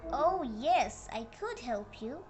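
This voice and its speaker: Young girl as a polite high-voiced storybook heroine. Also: big pitch swings, slow, exaggerated voice. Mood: polite, earnest. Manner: polite, agreeable. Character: helpful, patient young girl looking for work. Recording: noisy room with background ambience.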